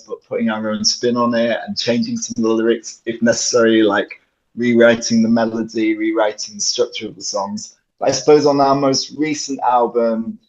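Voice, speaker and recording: Man, medium pitch, casual interviewee, band singer, dull lo-fi recording. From Yorkshire, England. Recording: dull lo-fi call audio.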